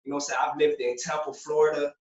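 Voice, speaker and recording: A man's voice: fairly high pitch, little pitch movement, racing. A young man from Puerto Rico, a former prisoner, telling his story as a guest in a loose, streetwise way, filling his talk with "you know what I'm saying". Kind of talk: casual interview storytelling. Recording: roomy, distant, dull lo-fi sound.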